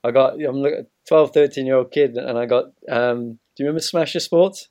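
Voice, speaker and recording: A man's voice: mid-range voice, fairly quick, darkish tone, no echo, studio quality. A man in his thirties from Zimbabwe, telling his story conversationally as an easygoing interview guest. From Zimbabwe, moved to England as a child. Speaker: podcast guest, ex-professional cricketer.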